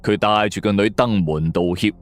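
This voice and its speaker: A man's voice: lowish voice, normal speed. A man narrating a suspense story in a colloquial, dramatic storyteller style. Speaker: audiobook narrator.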